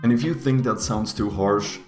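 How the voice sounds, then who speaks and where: A man's voice: on the low side, normal speed, a little mellow, roomy sound, faint music behind. A young man with a Swedish accent, a native Swedish speaker, giving casual, joking tier-list commentary with teasing, mock-harsh asides. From Sweden. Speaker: YouTuber.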